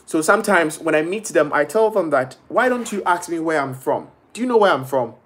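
A man's voice: fairly high pitch, fairly quick, breathy edge. A young man from Ghana talking casually and animatedly into a webcam in a small bedroom, live-streaming to viewers. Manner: animated, gestures a lot, quotes people in shifting voices. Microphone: laptop or phone webcam at arm's length.

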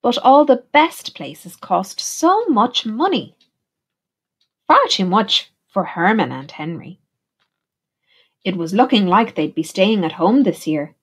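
Slow, very animated woman reading a storybook. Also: medium pitch, slightly rough. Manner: animated, deliberate, puts questions to young listeners. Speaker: teacher reading a picture book aloud. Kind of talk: expressive storybook narration for children.

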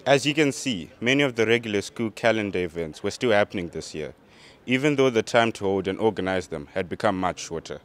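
A young man reports outdoors for a school newsreel, speaking into a handheld microphone. His scripted delivery is in a somewhat low voice. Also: lively intonation, fairly quick. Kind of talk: scripted piece to camera. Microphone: handheld mic with furry windscreen.

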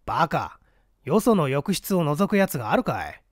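A man's voice: a little high, big pitch swings, normal speed, no room sound, studio quality. A man voicing a blunt, hot-headed newspaper reporter giving his account curtly in a mystery reading. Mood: recounting, brusque. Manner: curt, defensive. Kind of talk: dramatic storybook reading of a mystery.

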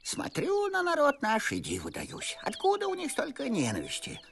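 Creaky, fretful old peasant man. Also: very high voice, huge pitch swings, normal speed, a bit bright, full character voice. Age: older. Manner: lamenting, muttering, folksy. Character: fretful scrawny old male peasant servant, grumbling. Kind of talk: animated film acting.

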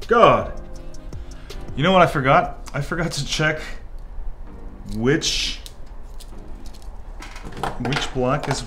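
A man who grew up in Korea, a native Korean speaker, casually talking through a soldering tutorial at a workbench, chatty and rambling. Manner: chatty, jumps into excited high exclamations and mutters asides. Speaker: 3D printing tutorial host. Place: indoor workbench. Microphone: off-camera, hands-only shot at workbench.

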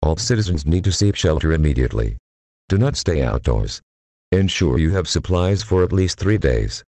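A man's voice: low-pitched, sing-song, moderate pace, a little mellow, no echo. A man's synthetic-sounding voice reading a scripted emergency update as an announcer.

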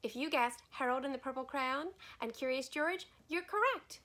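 A woman's voice: high voice, moderate pace, bright, forceful tone. A woman in her forties presenting a trivia question to camera as a librarian, recorded at home. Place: indoor sunroom at home.

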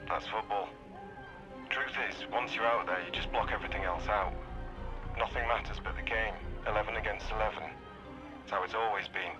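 An actor playing a stoic veteran footballer in a game, speaking tersely and reflectively in an interview. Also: male voice, fairly low pitch, steady tone, a little fast, close to an everyday voice. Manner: terse, philosophical. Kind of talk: cinematic game acting. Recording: dull radio-like sound, music behind.

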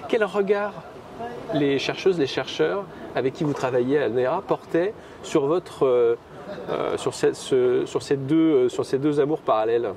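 A man interviewing a guest on an outdoor café terrace, asking measured questions and pausing to find his words. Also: medium pitch, background noise. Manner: measured, searching for words with fillers.

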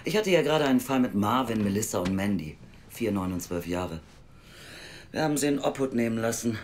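A woman's voice: very deep voice, animated, fairly quick, a little mellow, a little hiss or hum. An actress playing a blunt, sceptical, world-weary female youth welfare officer in an audio drama, speaking drily. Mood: weary, subdued. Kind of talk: naturalistic audio drama acting.

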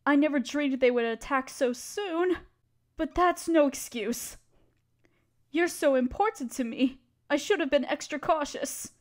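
A woman playing a gentle, anxious, motherly spider queen in an audio roleplay, speaking tenderly and pleadingly, with careful phrasing. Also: high voice, a little slow. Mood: worried, tender. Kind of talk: scripted audio roleplay addressed to listener.

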